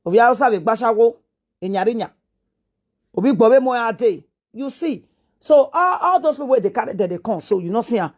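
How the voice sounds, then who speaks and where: A woman's voice: on the high side, lively intonation, fairly quick. Woman, Nigerian Pidgin accent, from Edo, commentator, earnest, repetitive. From Edo State, Nigeria. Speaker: online commentator. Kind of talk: reaction commentary, pleading.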